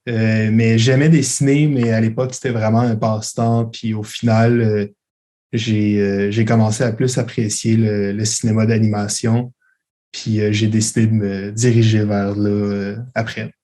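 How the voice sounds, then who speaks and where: Soft-spoken young man, low and flat. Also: moderate pace. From Belgium. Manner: hesitant, many fillers, relaxed. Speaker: interview guest, filmmaker. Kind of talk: casual interview answers.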